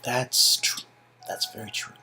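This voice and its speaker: A man with a husky voice narrating a story game and improvising dry, halting, sarcastic asides. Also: sharp tone. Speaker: narrator and reader.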